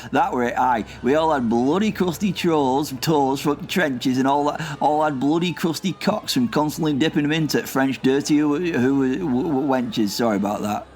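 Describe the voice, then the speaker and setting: A man's voice: medium pitch, a little mellow, slight background noise, lightly acted. A man playing a grumpy, rambling old war veteran who curses constantly. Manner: rambling, cursing, confused. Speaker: comic character.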